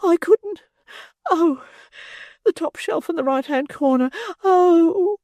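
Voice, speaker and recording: A man voicing a flustered middle-aged matron in a high, breathy, swooping falsetto. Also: slow, a little mellow, dry recording, heavily acted. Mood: distressed.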